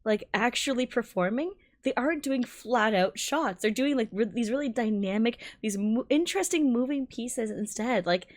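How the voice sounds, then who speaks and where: A woman streamer giving excited, exclamatory and rambling reaction commentary on a game trailer. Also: on the high side, moderate pace, hardly any pauses. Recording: music playing behind the voice. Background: game trailer music and dialogue.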